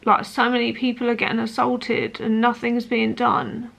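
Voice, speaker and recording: Woman late 20s doing a personal vlog, earnest and halting, pretty flat pitch, bit of vocal fry. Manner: earnest, hesitant, halting delivery. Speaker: vlogger. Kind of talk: personal talk to camera.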